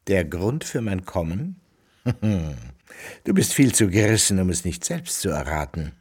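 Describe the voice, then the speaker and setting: Lively, husky, amorous baron. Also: male voice, sits low, measured pace, heavily acted. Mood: flirtatious. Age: middle-aged. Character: amorous, self-assured middle-aged male French baron. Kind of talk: German radio drama acting.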